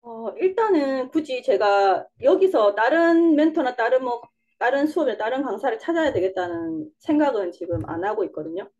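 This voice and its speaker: A woman's voice: a little high, expressive, moderate pace, a little mellow, highs cut off. A young woman with a Busan dialect, answering interview questions casually, rambling a little and laughing often. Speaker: interview guest, coaching student.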